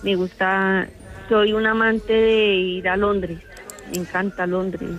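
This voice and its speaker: A woman's voice: mid-range voice, level tone, takes it slowly, faint music behind. Colombian woman calling a radio show. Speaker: radio phone-in caller. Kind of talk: casual chat, personal anecdotes.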